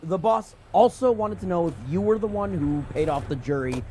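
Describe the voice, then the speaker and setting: High-voiced, gossipy office colleague. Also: male voice, lively intonation, normal speed, darkish tone, slight background noise. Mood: gossipy, conspiratorial. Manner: hesitant, offhand. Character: casual, gossipy male office colleague at agency. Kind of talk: game dialogue acting.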